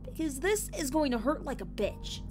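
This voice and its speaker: A brash, protective young cartoon pony, acted by a woman, speaks in a very high, lively voice. She is blunt and weary, in a dramatized horror tale over soft music. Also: normal speed.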